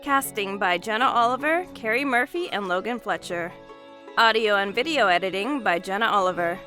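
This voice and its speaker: A woman announces scripted credits for an audio production. Her mid-pitched voice is bright and forceful, with faint music behind it. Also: lively intonation, moderate pace.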